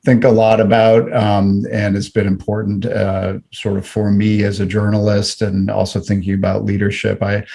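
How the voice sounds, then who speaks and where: A man's voice: lowish voice, monotone, moderate pace, vocal fry, hardly any pauses, mellow. A middle-aged man from Canada, a guest in an interview, talking reflectively with frequent fillers and restarts. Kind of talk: conversational interview.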